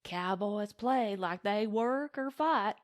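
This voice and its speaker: A woman's voice: medium pitch, takes it slowly, lightly acted. A woman voicing a modest, earnest young ranch woman in an audiobook. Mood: fond, earnest.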